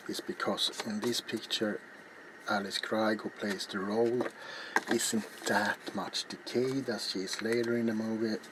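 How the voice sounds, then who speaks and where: Hushed, slow ASMR man, noisy recording. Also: lowish voice. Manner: hushed, unhurried, often dropping into whisper. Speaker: ASMR crafting video host. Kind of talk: soft-spoken ASMR craft narration. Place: small cluttered indoor workshop. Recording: loud background noise, handling clicks.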